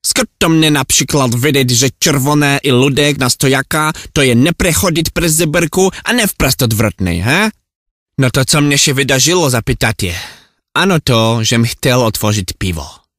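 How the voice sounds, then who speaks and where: A man's voice: medium pitch, expressive, normal speed, a bit bright, no room sound. Flustered Czech man played by an actor, close and dry. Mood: exasperated, bewildered. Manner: flustered ranting in garbled mock Czech-Polish. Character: hapless, exasperated Czech man lost in Poland. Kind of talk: comic monologue.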